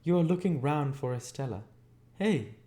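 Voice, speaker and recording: A man's voice: mid-range voice, animated, normal speed, mellow, voice pushed up, natural voice. A man voicing a bitter, imperious old woman from a classic novel, speaking in abrupt, taunting exclamations. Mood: malicious gloating. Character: Miss Havisham, bitter imperious old woman, maliciously gloating.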